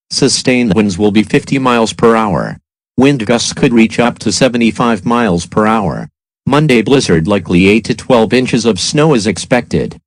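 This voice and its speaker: A man's voice: mid-range voice, dry recording, poor audio. A man playing a weather service announcer, reading a scripted forecast in a formal, matter-of-fact way.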